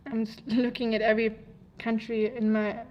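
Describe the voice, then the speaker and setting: A woman's voice: fairly high pitch, level tone, normal speed, dull audio. A woman from Germany with a German accent, hosting a casual livestream and chatting through viewer comments in a rambling, easygoing way. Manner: rambling, chatty, laughs easily. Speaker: livestream host.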